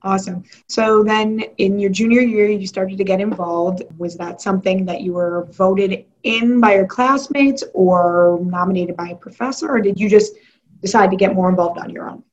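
A woman's voice: mid-range voice, moderate pace, a little mellow, highs cut off. A woman hosting an interview, asking questions and laughing along with her guest. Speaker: interview host. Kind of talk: casual interview conversation.

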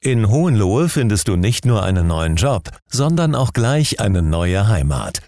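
Lively male podcast announcer. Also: fairly low pitch, measured pace, dry recording. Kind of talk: scripted outro.

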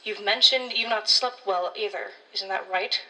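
A woman's voice: medium pitch, normal speed, pushed and bright, recorded from a distance, natural voice, low-quality recording. A woman playing a blunt, condescending ranger colleague in an audio drama, talking in a world-weary, slangy way. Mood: concerned, lecturing.

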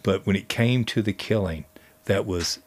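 A man telling stories conversationally as a guest, speaking in a halting way with frequent pauses. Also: lowish voice. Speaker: guest storyteller and history teacher. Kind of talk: conversational storytelling.

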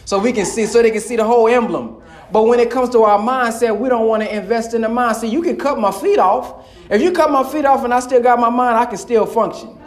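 A man's voice: very high voice, a little fast. Impassioned preacher man in an echoey hall. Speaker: minister. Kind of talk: preaching to a live audience.

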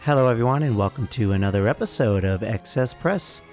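A young man hosting a casual news podcast, hoarse from a cold, rambling with lots of fillers. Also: mid-range voice, expressive, brisk, over music. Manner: rambling, filler-heavy, apologetic. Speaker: podcast host.

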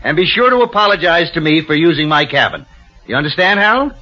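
An actor playing a coldly calculating, persuasive swindler in an old-time radio drama, commanding and pushy. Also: male voice, on the high side, sing-song, fast talker. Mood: brisk, coaxing. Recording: dull old radio recording with music.

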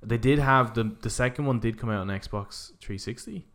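A man's voice: mid-range voice, moderate pace, fairly bright tone. A man co-hosting a podcast, talking informally about video games and often saying "like". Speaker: podcast co-host.

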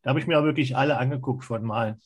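A man's voice: mid-range voice, fast, breathy edge. A middle-aged man chatting casually as a podcast co-host, with a dry, joking manner. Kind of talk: casual chat.

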